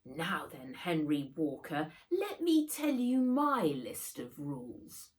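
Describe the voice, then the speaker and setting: A woman's voice: sits low, huge pitch swings, slow, pitched below the performer's own voice, exaggerated voice. A woman voicing a stern, bossy nanny in a children's story, with a strict, scolding delivery. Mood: menacing, firm. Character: stern, bossy, bushy-browed old-country nanny.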